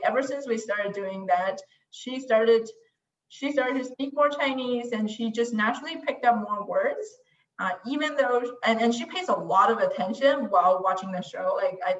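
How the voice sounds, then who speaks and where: A woman whose first language is Mandarin, chatting casually and self-deprecatingly, often restarting her sentences. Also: a little high. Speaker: guest, language website creator. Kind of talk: casual conversation. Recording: distant, roomy, dull lo-fi sound.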